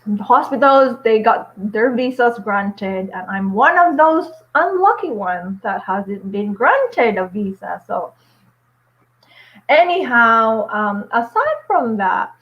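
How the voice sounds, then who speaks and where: A woman's voice: fairly high pitch, big pitch swings, slow. A woman in her forties, a nurse and vlogger chatting on a casual live stream at home, upbeat, with many fillers and little laughs. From Philippines. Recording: dull lo-fi stream audio.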